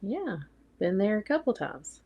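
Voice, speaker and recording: A woman's voice: mid-range voice, very animated, a little slow, breathy edge, highs cut off. A woman from North Carolina with a Southern accent, chatting in a plainspoken, teasing way on a live stream. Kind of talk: casual chat on a live stream.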